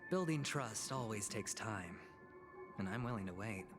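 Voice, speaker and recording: An actor playing a smooth, scheming young male corporate negotiator in a fantasy video game, with a sly and persuasive manner. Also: lowish voice, animated, normal speed, lightly acted. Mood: calm, insinuating. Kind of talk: theatrical video game voice acting. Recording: game music playing behind.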